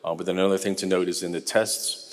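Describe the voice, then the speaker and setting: A middle-aged American man giving a technical conference talk in a relaxed, conversational way with frequent ums. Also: low-pitched, fast talker, big-room sound. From United States. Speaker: conference speaker. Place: large conference hall.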